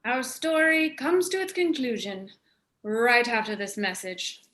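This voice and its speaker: A woman's voice: a little high, sing-song, a little slow, a bit bright. A woman playing the storytelling narrator of a radio drama, reading scripted narration. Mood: dramatic. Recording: roomy, echoing sound.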